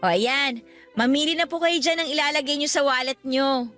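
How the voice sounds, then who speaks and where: A cheeky young filipina daughter in a radio drama, high bright voice, jokey and affectionate. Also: female voice, normal speed, soft background music. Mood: playful. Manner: joking, mock-complaining. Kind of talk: Tagalog radio drama acting.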